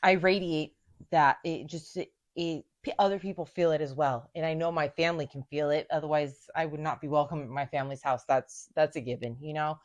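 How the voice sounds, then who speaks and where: A woman's voice: sits low, fairly even intonation. A woman in recovery, a mother of a young child, talking candidly about her past and often stopping to search for words. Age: adult with a young son. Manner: halting, searching for words, many restarts. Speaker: daughter in recovery. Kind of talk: candid personal conversation.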